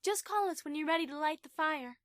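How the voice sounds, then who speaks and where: A woman playing a gentle adult mare in a fan audio drama, affectionate, assured and composed. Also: sits high, fairly quick, no room sound, studio quality.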